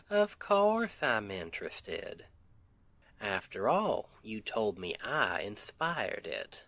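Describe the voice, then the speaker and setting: A man's voice: on the low side, big pitch swings, extremely slow, long pauses, fairly bright tone, no echo, exaggerated voice. A man playing a sweet, weary Southern US husband and roofer in an intimate ASMR roleplay, with an affectionate, teasing, folksy drawl. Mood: curious, affectionate. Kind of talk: intimate ASMR roleplay, one side of a conversation.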